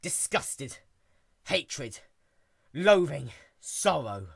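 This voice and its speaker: A man's voice: fairly high pitch, very animated, drawn-out words, vocal fry, a bit bright, husky, no echo, clean studio sound. A man giving a dramatic story reading with a theatrical, wry and emphatic delivery. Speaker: story narrator.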